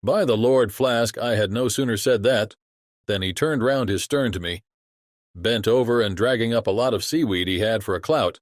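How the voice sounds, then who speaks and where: Guy acting chatty old-time sailor telling a mate his yarn, lowish voice, exclaims a lot, dry audiobook sound. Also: normal speed, no echo, natural voice. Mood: animated musing. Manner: colloquial, exclamatory storytelling. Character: salty, chatty sailor spinning a yarn to a shipmate.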